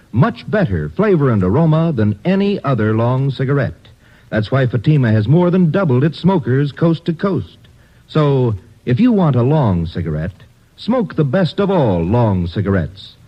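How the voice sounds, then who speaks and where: A man's voice: medium pitch, husky. A man reading a persuasive vintage radio commercial and public announcement. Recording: dull old radio recording.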